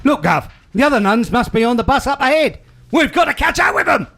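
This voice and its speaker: A man's voice: very high-pitched, big pitch swings, brisk, creaky, a bit bright, no room sound, exaggerated voice. A man playing a chirpy male London bus conductor with a put-on Cockney accent and rhyming slang, in an amateur radio play. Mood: eager.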